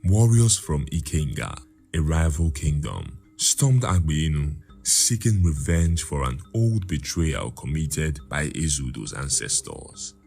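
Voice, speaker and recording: Male folktale narrator: dark, mellow tone, lively intonation, measured dramatic delivery. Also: very deep voice, gravelly, faint music behind. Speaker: storyteller.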